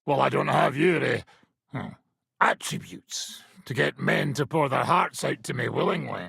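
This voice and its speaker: An actor playing a gruff, brash, middle-aged medieval mercenary nobleman in a video game, with a raspy, gravelly voice. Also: medium pitch, normal speed, a bit bright, no room sound, studio quality, full character voice. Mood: sardonic, gruff. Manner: brash, sardonic, swearing.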